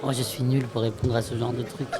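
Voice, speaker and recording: A woman in casual roundtable talk, halting and self-deprecating, often repeating her words. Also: a bass voice, little pitch movement. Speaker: stage actor on a panel. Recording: crowd chatter behind. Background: crowd chatter.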